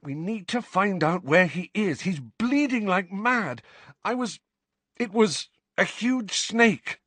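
A man's voice: high-pitched, lively intonation, slow pace, fairly bright tone, no room sound, voice pushed up, heavily acted. A man voicing a panicked teenage wizard boy in an audiobook, breathless and urgently insistent. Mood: frantic, insistent. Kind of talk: audiobook character acting.

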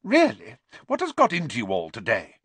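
Man as older woman teacher, stern, raised pitch, clipped, exasperated, animated, storybook. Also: unhurried, no room sound, full character voice. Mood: put out.

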